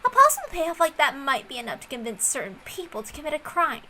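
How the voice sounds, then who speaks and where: Woman voicing pushy visual-novel brother, middle-aged, bright and forceful, scheming, faint noise. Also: sits high, big pitch swings, fast, voice pushed up, full character voice. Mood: suspicious.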